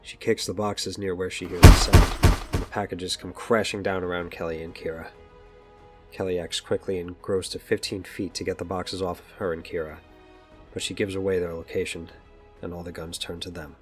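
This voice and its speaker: A man with a low voice reads scripted narration for an audio drama. Faint music plays under his storytelling. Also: little pitch movement, normal speed.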